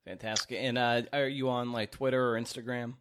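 A man's voice: medium pitch, little pitch movement, a little fast, a bit bright, a little hiss or hum. A man hosting a podcast, talking casually to his guest and listeners. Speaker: podcast host. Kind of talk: casual podcast interview and sign-off.

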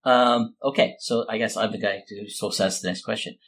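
A man's voice: fairly low pitch, steady tone, fairly quick, a bit bright. A man hosting a casual podcast chat in a joking, teasing manner. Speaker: podcast host.

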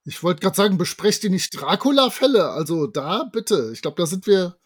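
A man's voice: high-pitched, sing-song, brisk, husky. A man, co-hosting a podcast and casually retelling a story with his own comments. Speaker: podcast co-host. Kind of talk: casual discussion of an audio drama, retelling and commenting.

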